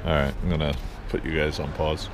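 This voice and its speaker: A man's voice: rumbling low, flat delivery, fairly quick. A man selling at an outdoor flea market, thinking aloud and chatting casually with customers while filming himself. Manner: rambling, thinking aloud with fillers. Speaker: flea market vendor vlogger. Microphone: body-worn action camera. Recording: outdoor camera audio with loud noise. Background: loud outdoor ambient noise.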